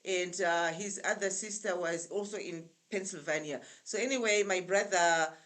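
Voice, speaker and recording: A woman in her sixties with a Zimbabwean accent speaks in a fairly low voice. She tells her story in a relaxed, chatty way, pausing often to gather her thoughts. She is heard over a remote call. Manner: chatty, unhurried storyteller with frequent pauses. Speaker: guest. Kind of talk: casual storytelling interview.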